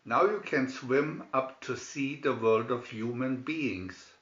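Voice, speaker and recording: A man's voice: mid-range voice, takes it slowly, fairly bright tone, roomy sound, dull audio. A man voicing a grave, fatherly old merman king in a storybook, explaining things solemnly.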